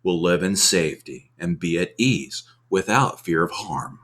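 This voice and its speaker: A man's voice: deep voice, animated, measured pace, a bit bright. A man reading scripture aloud in a devotional ASMR style. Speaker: scripture reader.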